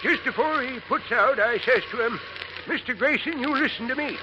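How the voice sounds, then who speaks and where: A very high, folksy old male boatman from a vintage radio drama. He rambles in a dialect, worried and never hurried. The sound is narrow and faintly noisy, like an old broadcast. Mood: rambling, grave. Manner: rambling, repeats himself. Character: worried, long-winded old male boatman, stubbornly unhurried. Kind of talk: 1940s radio acting.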